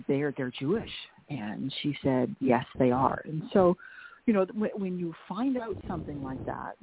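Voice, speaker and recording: A woman's voice: deep voice, a little hiss or hum. Middle-aged canadian woman author telling her story, repeats words a lot, tinny phone line. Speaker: author guest. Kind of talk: interview over phone.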